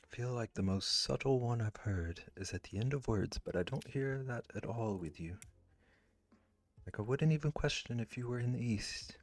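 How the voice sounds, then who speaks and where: A man's voice: mid-range voice, lively intonation, moderate pace, no room sound, soft background music. A man streaming live while he draws, chatting casually with viewers and rambling with lots of "like" fillers. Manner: rambling, with many "like" fillers and restarts. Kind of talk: casual chat, reading and answering chat.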